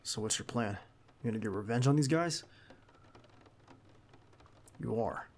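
A man playing a protective, earnest bodyguard in an ASMR roleplay, speaking haltingly and insistently straight to the listener. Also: medium pitch, expressive, a little mellow. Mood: stern, concerned. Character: protective, earnest young male bodyguard to a crime boss. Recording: clear background noise under the voice.

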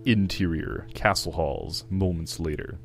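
A man's voice: low-pitched, lively intonation, measured pace, vocal fry, music in the background. A man narrating an amateur dramatic reading of a screenplay.

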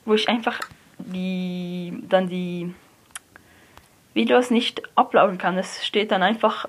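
A young person, likely a teen, giving hesitant gameplay commentary, often correcting themselves and dropping to a near whisper. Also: female voice, mid-range voice, slightly rough. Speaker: let's player. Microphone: phone screen recorder mic. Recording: noisy lo-fi phone recording.

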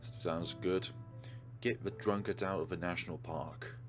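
A man's voice: sits low, steady tone, unhurried. A man hosting a let's play, reading game text aloud and commenting on it. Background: game music.

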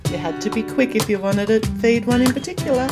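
A woman, narrating a casual travel vlog in a relaxed, chatty way while touring outdoors. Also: fairly high pitch, fast, over loud music. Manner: chatty, relaxed tour guiding. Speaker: travel vlogger. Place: outdoors touring a town and wildlife park.

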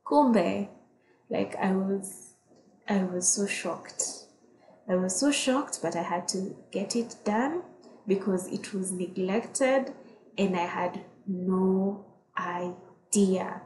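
A young woman talks slowly in a loose, rambling way, repeating her words as she goes. Her voice sits at a middle pitch and carries some room echo from a home kitchen. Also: darkish tone. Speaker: vlogger. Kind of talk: casual voice-over while cleaning.

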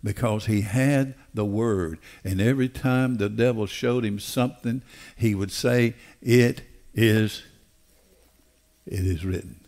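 Older male preacher, fairly deep voice, emphatic and deliberate delivery from a church stage. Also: a little mellow. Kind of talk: sermon. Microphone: headset.

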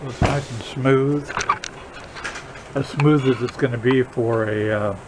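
Older male how-to host, medium pitch, folksy and casual, recorded on camera in a garage with noticeable noise. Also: fairly even intonation, measured pace. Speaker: drum restorer hosting a how-to video. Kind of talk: casual hands-on workshop demonstration. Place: cluttered garage workshop. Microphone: camera a short distance away. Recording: clear background noise, workshop ambience.

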